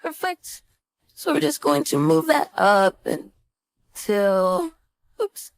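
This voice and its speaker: A woman instructor giving a casual screen-share software tutorial, thinking aloud informally as she demonstrates. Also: high voice, very animated, slow, gravelly, no room sound. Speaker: design instructor.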